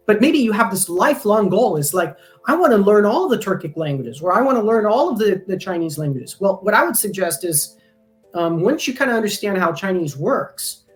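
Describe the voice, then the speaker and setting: A man in a casual podcast conversation, talking at length with frequent ums and restarts. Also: sits high. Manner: rambling, many ums and restarts. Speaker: guest, language company founder. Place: lives in Taiwan.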